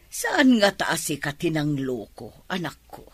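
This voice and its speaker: A woman's voice: low-pitched, sing-song, a little slow, slightly rough, dry recording, full character voice. A middle-aged woman acting a worried, scolding mother in an Ilocano radio drama.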